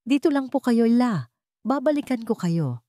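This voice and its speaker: A woman's voice: fairly high pitch, sing-song, normal speed, warm tone, dry recording, clean studio sound, pitched above the performer's own voice. A woman voicing an earnest, nervous young male job applicant who speaks politely and pleadingly in a dramatic story. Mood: grateful, reassuring.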